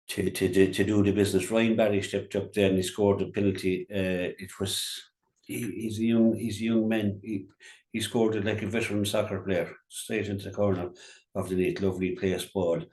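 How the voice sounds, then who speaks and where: A very deep-voiced man with a County Clare accent talks through local sport off the cuff. He stumbles over words, repeating and restarting as he goes. The sound is echoey and roomy. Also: flat delivery, normal speed, a little mellow. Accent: Irish, County Clare. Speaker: local radio sports pundit. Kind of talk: unscripted GAA sports commentary on local radio.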